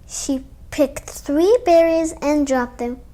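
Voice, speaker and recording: A young female child presents a read-aloud for other kids. Her voice is high and her pace is slow and unhurried. She tells the story as a storytime host would. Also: mellow.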